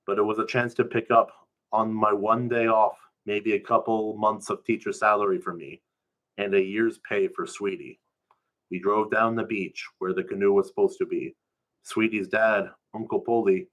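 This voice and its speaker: A man's voice: fairly low pitch, fairly bright tone, poor audio. A man reading a first-person story aloud in a colloquial, storytelling way.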